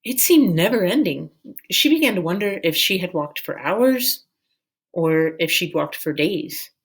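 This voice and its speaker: A woman reading a children's novel aloud as a storyteller, in a measured, deliberate way. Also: on the low side, expressive, breathy edge.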